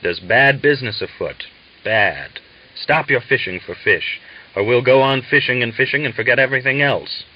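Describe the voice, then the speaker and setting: A man's voice: mid-range voice, normal speed, lightly acted. A dull, lo-fi audiobook recording carries a hot-headed young revolutionary, acted out by a male narrator. He is impatient and snappish, goading and urging his listeners on. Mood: grim warning. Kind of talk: dramatised audiobook dialogue.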